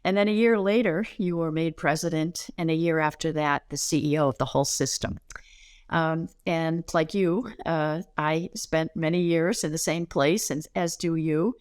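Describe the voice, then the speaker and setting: An older woman with an American accent, hosting an interview and formally introducing a guest in a measured, slightly halting way. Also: deep voice, fairly even intonation, darkish tone, clean tone, no room sound, studio quality. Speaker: interview host.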